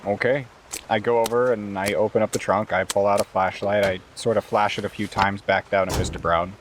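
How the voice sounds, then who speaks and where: Low-voiced man playing a tabletop game. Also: steady tone, brisk, background noise. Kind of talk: casual in-character game play talk.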